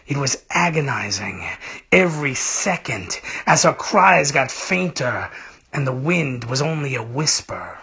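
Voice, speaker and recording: A man reading a literary story in the first person, with theatrical, emotive phrasing and hushed, breathy phrase endings. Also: medium pitch. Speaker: reader of a short story.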